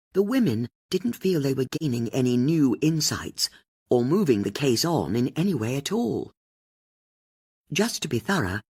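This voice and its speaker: An audiobook narrator reading mystery fiction in an expressive storytelling manner. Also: mid-range voice, slightly rough.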